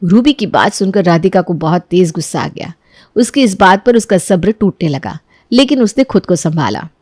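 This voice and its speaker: A woman's voice: fairly low pitch, animated, moderate pace, darkish tone, dry recording, clean studio sound. A woman narrating a scripted story as a storyteller.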